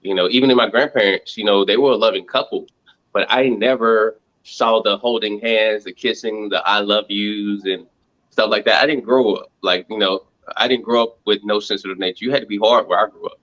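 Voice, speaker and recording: A man, answering casual interview questions in a halting, reflective way, thinking aloud. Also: on the low side, fairly even intonation, a bit bright, dull audio. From Montgomery, Alabama, United States. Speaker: reality show contestant interviewed as guest.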